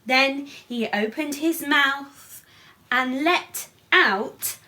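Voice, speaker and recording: A woman's voice: high voice, extremely slow, sharp tone. A woman storyteller reading a children's picture book expressively, with playful asides and questions for young listeners.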